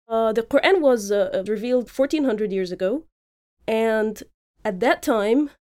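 A woman podcast host giving an explanatory educational talk, pausing and repeating words as she thinks aloud. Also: fairly high pitch, darkish tone.

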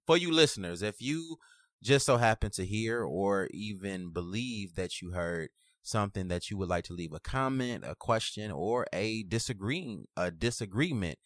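Male podcast host, low register, slangy and emphatic, dry close sound. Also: dry recording. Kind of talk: casual podcast conversation.

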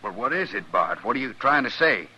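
A man's voice: on the low side, sing-song, a little fast, pushed and bright, dull audio, slight background noise, exaggerated voice. An actor playing a bitter, belligerent frontier father in a radio western, with a folksy, combative way of talking. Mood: impatient. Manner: folksy rural drawl, combative. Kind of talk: 1950s radio western acting.

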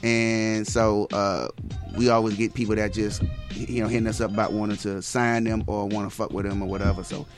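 A man's voice: fairly low pitch, level tone, fairly quick. A man in his forties, a father managing his daughters' music, talking business in a loose, slangy way. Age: 40s. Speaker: father and manager of the guest artists. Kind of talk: casual interview chat.

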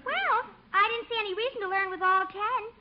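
An actress playing a naive, cheerful young job applicant in a radio comedy, American, guileless and slow on the uptake. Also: female voice, very high-pitched, a little hiss or hum. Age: young adult. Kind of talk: 1950s radio situation comedy acting. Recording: muffled old 1950 radio recording.

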